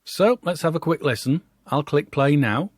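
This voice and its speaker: A man giving an instructional software walkthrough, explaining step by step in a conversational way. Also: fairly high pitch, expressive, moderate pace.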